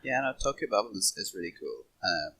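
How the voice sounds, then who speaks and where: A man's voice: low voice, very animated, brisk, pushed and bright. A man in a casual podcast discussion, talking in a rambling, enthusiastic fan's way. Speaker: podcast panelist.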